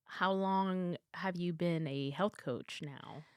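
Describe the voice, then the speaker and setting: A woman's voice: on the low side, slow pace, a little mellow, clear, resonant tone, dry recording. A woman hosting a podcast interview, asking short questions and giving encouraging replies. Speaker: podcast host.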